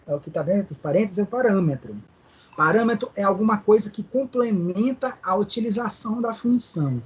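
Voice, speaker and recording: A man's voice: high voice, husky. Man teaching a programming class. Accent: Brazilian Portuguese, Maranhão. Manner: explains step by step, asks students questions. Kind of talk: live online class.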